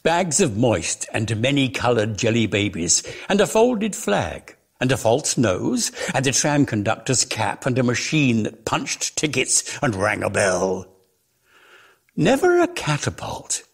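A man reads lyrical prose as a theatrical storyteller. His voice is gravelly and husky, and it swings expressively through its range at a slow, measured pace. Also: medium pitch, wildly swooping pitch. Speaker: reader of a dramatic story.

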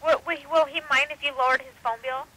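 A woman telemarketer giving a phone sales pitch in an informal, persistent manner. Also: steady tone, fast, bright, forceful tone. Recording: noisy telephone line.

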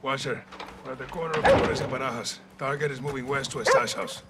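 An actor playing a commanding, urgent special forces colonel in a military shooter game, with a Mexican accent and clipped orders. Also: male voice, mid-range voice, fast talker, lightly acted. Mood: focused. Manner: clipped orders, switching between Spanish and English. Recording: noisy game action mix with clicks.

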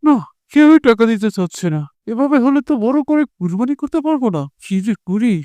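A man's voice: very high-pitched, lively intonation, fairly quick, mellow, no room sound. A man playing a greedy, domineering village moneylender in a cartoon, bossy and scolding. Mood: frustrated. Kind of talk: Bengali cartoon voice acting.

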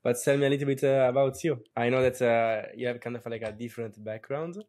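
A young man in his twenties speaks English with an Italian accent. He co-hosts a relaxed podcast from a small room at home. He talks in a friendly way with many filler words, through earbuds on a video call. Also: mid-range voice, fast. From Italy. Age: 20s. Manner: friendly, lots of filler words and light laughs. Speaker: podcast co-host.

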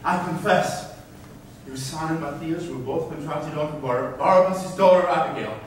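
An actor playing a treacherous slave blurting accusations in a classical stage play, with a dramatic delivery. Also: male voice, on the high side, normal speed, natural voice. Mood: accusing. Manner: dramatic, animated. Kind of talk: classical verse stage acting. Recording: very echoey noisy theatre, distant.

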